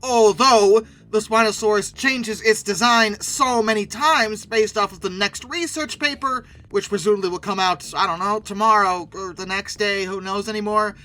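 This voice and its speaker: A man's voice: high-pitched, normal speed, few pauses, pushed and bright, poor audio. A man giving wry, sarcastic film commentary as the host of a video channel, leaning emphatically on words.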